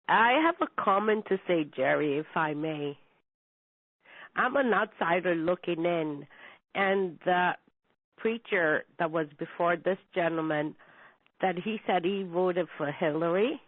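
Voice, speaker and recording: A woman's voice: on the low side, dry recording. A woman calling in over an internet line to give her opinion, speaking in an earnest, firm manner. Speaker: caller. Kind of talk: opinion comment on a call-in. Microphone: Skype web call. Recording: dry narrow internet call audio.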